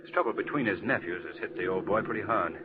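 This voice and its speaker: An actor playing an urbane, unruffled, good-humoured gentleman detective in a 1940s radio drama. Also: fairly low pitch, fast, background noise, close to an everyday voice. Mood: easygoing. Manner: easygoing, chuckling, polite. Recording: dull lo-fi 1940s radio recording.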